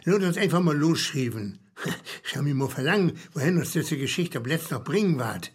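Pompous husky poet, Low German. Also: male voice, expressive, moderate pace. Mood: eager, bossy. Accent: Low German, northern Germany. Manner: grandiose, theatrical. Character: pompous, plaintive male poet lamenting the decline of culture. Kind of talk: comic radio play dialogue with declaimed verse.

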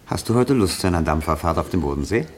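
A man's voice: low voice, expressive, quick pace. A man acting an uneasy, evasive husband in a German radio play, placating as he talks. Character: evasive, uneasy married man hiding his past. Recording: vintage radio play, faint music.

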